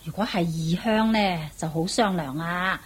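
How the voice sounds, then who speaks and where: A woman's voice: fairly low pitch, unhurried, husky. A woman with a Hong Kong Cantonese accent playing a motherly, scheming palace cook in a radio drama, chatty and coaxing.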